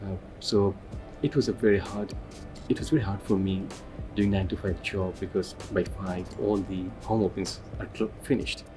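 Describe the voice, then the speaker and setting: A middle-aged man with a very deep voice explains his family's move earnestly. Music runs clearly beneath him. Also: steady tone, normal speed, rough recording. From Bangladesh. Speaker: interviewee. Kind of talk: personal interview. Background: background music.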